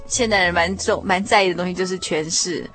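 A woman's voice: lowish voice, steady tone, brisk. A woman with a Taiwanese Mandarin accent hosts a conversational radio interview, often chiming in with short agreeing interjections. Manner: frequent affirming interjections. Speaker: radio programme host interviewing a guest. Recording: music behind the voice. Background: background music.

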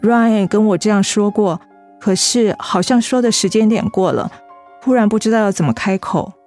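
An actress plays a young office worker with a sweet, soft-spoken manner. She is polite and evasive, mid-pitched, acting in a radio drama over faint music. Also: normal speed, close to an everyday voice. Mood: quietly sincere. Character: sweet young female office worker hiding her illness.

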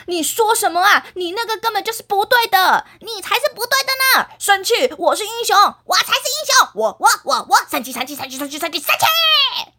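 A woman voicing a boastful male green-energy superhero in a children's story, stubborn and quick to argue. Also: high-pitched, animated, sharp tone, exaggerated voice. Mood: angry.

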